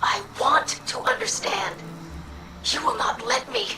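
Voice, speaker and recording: A woman voicing an earnest, dutiful young alien scribe in a video game, with formal, measured diction and wounded idealism. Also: a little high, animated, over music. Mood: pleading, confrontational. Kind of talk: dramatic video game cutscene acting.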